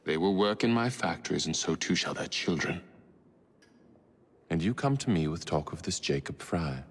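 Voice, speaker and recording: Deep, husky, menacing tycoon villain. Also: male voice, animated, heavily acted. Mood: cold menace. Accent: English. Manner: pompous, slow, deliberate oratory. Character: menacing, haughty male Victorian industrial tycoon villain. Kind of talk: dramatic video game acting. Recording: reverberant hall sound.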